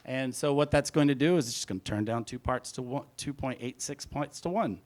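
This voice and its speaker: A man's voice: mid-range voice, brisk. A man, a music producer, giving an informal, rambling hands-on presentation, talking through each step aloud as he goes. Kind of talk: casual hands-on audio production talk.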